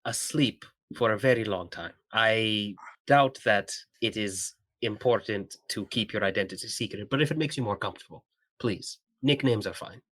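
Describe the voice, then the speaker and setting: A man playing a formal, halting pilot's mind. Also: on the low side, fairly bright tone. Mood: polite, formal. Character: courtly adult male pilot's mind trapped in a box. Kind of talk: improvised actual-play podcast roleplay.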